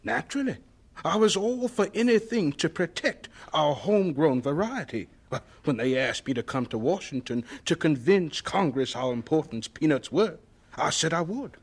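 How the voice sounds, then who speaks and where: An actor playing a humble elderly American scientist in a radio drama, speaking mildly and hesitantly with a husky voice. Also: male voice, fairly high pitch, animated. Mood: gentle, reminiscing. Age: older. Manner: mild, hesitant, unhurried.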